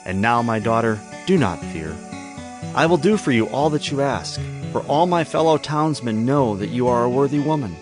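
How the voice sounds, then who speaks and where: An actor playing a kindly, dignified landowner of ancient times in a dramatized scripture drama, solemn and gracious. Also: male voice, mid-range voice, animated, normal speed, close to an everyday voice. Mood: tender, earnest. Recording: music bed under the voice.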